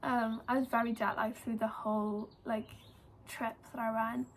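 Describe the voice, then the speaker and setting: A woman's voice: a little high, flat delivery, normal speed, a little hiss or hum. An eighteen-year-old woman with a Welsh accent, casually telling a story to camera, full of "like" and "um". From Wales. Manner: chatty, lots of "like" and "um". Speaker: vlogger.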